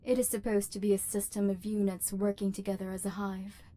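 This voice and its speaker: A woman's voice: mid-range voice, flat delivery, brisk, dark tone, natural voice. A woman voicing an embittered, lonely robot overlord in an ASMR roleplay, with formal, precise diction and hurt tenderness underneath. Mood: cold, guarded. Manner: formal, precise, stilted diction with wounded feeling. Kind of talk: scripted ASMR roleplay acting.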